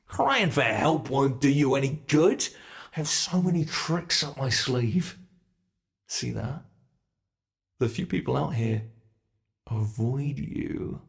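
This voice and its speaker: An ancient monster , acted out by a man, toys sadistically with human prey in an ASMR roleplay. The voice creaks with fry and takes its time over every threat. The delivery is theatrical and teasing, dropping into breathy, menacing whispers. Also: mid-range voice, lively intonation, slow, pauses often. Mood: excited taunting. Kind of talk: ASMR roleplay, scripted monologue.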